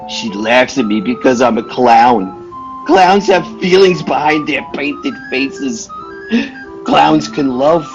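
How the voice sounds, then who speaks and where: Man as a slow, brooding circus clown. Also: mid-range voice, lively intonation, lo-fi sound, soft background music. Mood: bitter, menacing. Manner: slow, melodramatic. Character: lovesick, bitter, brooding male circus clown. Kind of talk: old-time radio play acting.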